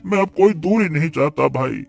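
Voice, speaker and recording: A village hotel owner in a cartoon story, voiced by a man, full of remorse and pleading emotion. His voice sits a little high, with soft music under it. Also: lively intonation, moderate pace, creaky.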